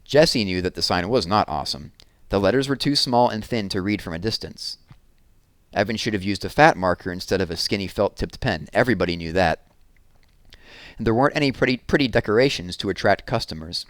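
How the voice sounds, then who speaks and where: A man reading a children's novel aloud with expressive storytelling. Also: fairly low pitch, fairly quick, no room sound, studio quality. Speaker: reader.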